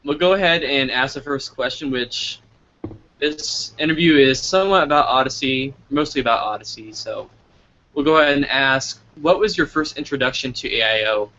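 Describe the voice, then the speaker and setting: A man's voice: medium pitch, moderate pace, pushed and bright, highs cut off. A man hosting a casual live interview over an online call, informal and thinking aloud as he goes. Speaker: interviewer and host. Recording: noisy, band-limited online call audio.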